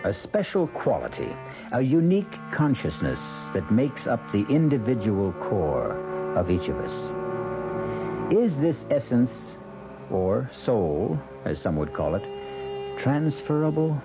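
A man's voice: mid-range voice, huge pitch swings, slow, over music. A man hosting a radio mystery drama, narrating in a ponderous, rhetorical scripted style. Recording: dull 1970s radio broadcast recording.

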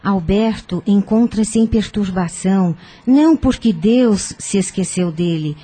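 An actress playing a wise, patient older aunt in a radio soap, giving solemn, measured spiritual counsel. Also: female voice, medium pitch, dark tone, slightly rough. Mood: solemn, consoling. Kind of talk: radio soap opera acting. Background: music.

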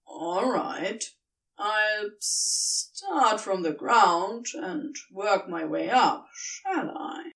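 A woman's voice: mid-range voice, lively intonation, very slow, a bit bright, distant mic, heavily acted. A woman voicing a laid-back, flirtatious talking serpent in a fan fiction audio story, casual, teasing and a little hesitant. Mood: teasing, gentle. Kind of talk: audiobook-style fan fiction character reading.

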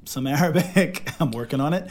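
An American man co-hosting a casual podcast chat, animated and quick to laugh. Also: fairly high pitch. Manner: animated, laughs often, code-switches into Arabic. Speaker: podcast co-host.

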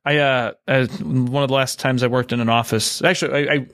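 Thirties male host, rapid and relaxed, chatty on a video call. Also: mid-range voice, no room sound, studio quality. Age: 30s. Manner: easygoing, chatty, quick to laugh. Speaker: podcast host. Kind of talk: casual chat.

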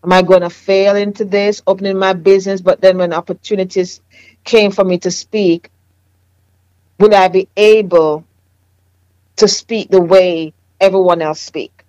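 A woman's voice: medium pitch, steady tone, a little slow, a little mellow, no room sound, highs cut off. A woman with a Jamaican accent, a therapist sharing her faith story in an earnest, reflective conversation. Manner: earnest, reflective, frequent fillers. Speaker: therapist and business owner.

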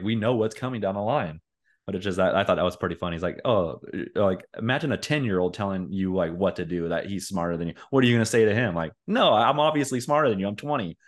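A man in his forties co-hosting a casual chat, rambling and acting out quotes in lively voices. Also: on the low side. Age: 40s. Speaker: podcast co-host.

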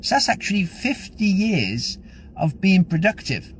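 A man's voice: sits high, very animated, unhurried, slight background noise. A man giving an upbeat, rambling motivational talk as host of his own show. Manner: upbeat, rambling with frequent restarts. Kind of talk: casual motivational talk to camera.

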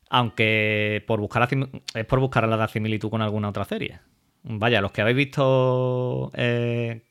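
A man's voice: fairly low pitch, a bit bright. A man hosting a podcast, talking in a casual, chatty way, with many fillers and restarts. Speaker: podcast host.

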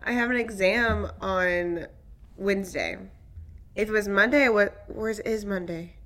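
A young woman with a raspy, hoarse voice, co-hosting a podcast and chatting excitedly about reality shows and her week. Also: medium pitch. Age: young adult. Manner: excitable, quick storyteller. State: hoarse from screaming at work. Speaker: podcast co-host. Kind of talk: casual chat.

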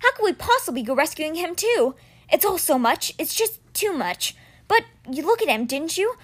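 Woman doing an anxious, overwhelmed teen boy. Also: very animated. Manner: high, strained and rushed.

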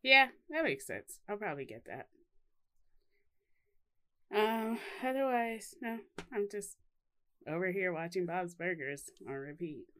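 A woman's voice: on the low side, lively intonation, measured pace, pauses often, pushed and bright. A woman co-hosting a podcast from home in casual chat, rambling and laughing often. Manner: giggly, rambling. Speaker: podcast co-host. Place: home in Texas.

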